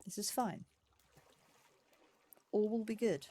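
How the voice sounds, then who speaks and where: A woman's voice: mid-range voice, slow, mellow. A woman giving casual let's play commentary, chatting and musing aloud in a self-deprecating way. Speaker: gaming YouTuber, host of a let's play series.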